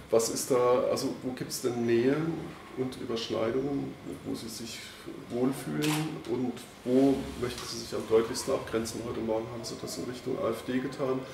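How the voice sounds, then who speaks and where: A man's voice: medium pitch, steady tone, moderate pace, vocal fry, reverberant, recorded from a distance, background noise. A man, a journalist, asking long, loosely phrased questions at a press conference.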